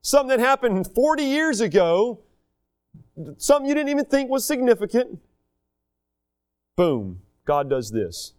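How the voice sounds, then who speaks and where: A man in his thirties preaching a sermon, reading scripture aloud and explaining it with quick asides. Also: extremely high pitch, big pitch swings, a little mellow, smooth. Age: 30s. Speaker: pastor.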